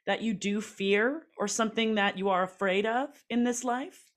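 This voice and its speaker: A woman's voice: mid-range voice, normal speed. A woman interviewing a guest, asking open, probing questions about his life.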